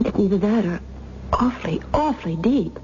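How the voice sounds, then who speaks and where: A woman's voice: mid-range voice, expressive, moderate pace, lightly acted. An actress playing an anxious, devoted captive wife and mother in a radio mystery drama, nervous and emotional, repeating herself. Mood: tense. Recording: muffled old radio recording, clear noise.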